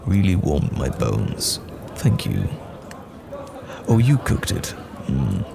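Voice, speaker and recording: Guy doing an earnest traveling knight, super deep n gravelly, swings up and down, thoughtful old-timey talk. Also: big pitch swings, a crowd in the background, full character voice. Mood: content, philosophical. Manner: measured, thoughtful, old-fashioned formal speech. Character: courteous, earnest male wandering knight in a fantasy tavern. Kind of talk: fantasy roleplay audio acting. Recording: music behind the voice.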